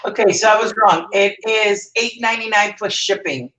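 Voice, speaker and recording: A woman's voice: medium pitch, moderate pace, fairly bright tone, low-quality recording. A Canadian woman hosting a craft live stream, chatting casually and correcting herself as she works. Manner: rambling, self-correcting while working. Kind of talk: casual craft demo chat.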